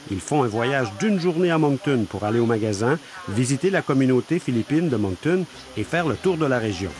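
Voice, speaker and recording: A man reading a voice-over translation of a shop owner, lively and explanatory. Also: mid-range voice, fairly quick. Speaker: interpreter voicing a shop owner.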